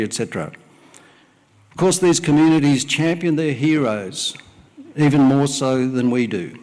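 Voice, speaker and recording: A middle-aged man from Wales, a native Welsh speaker, preaching a sermon and praying in a church service, speaking plainly with frequent "uh" pauses. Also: mid-range voice, a little mellow. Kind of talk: sermon with prayer. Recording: long hall reverb.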